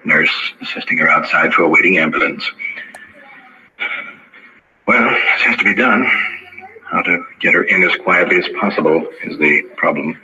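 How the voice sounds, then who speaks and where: A man's voice: deep voice, normal speed, long pauses, sharp tone, slightly rough. A man reporting on the scene for a radio documentary, narrating in terse, clipped phrases. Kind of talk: hushed documentary radio narration of live events. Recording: noisy, dull old radio recording.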